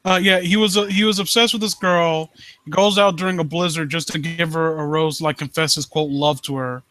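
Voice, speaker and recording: A man hosting a live stream, reading and commenting on stories in a halting, rambling way and often rephrasing himself. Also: a little high, fairly even intonation, bright, forceful tone, low-quality recording. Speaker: live stream host reading and critiquing amateur horror stories. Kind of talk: story read-aloud and casual commentary.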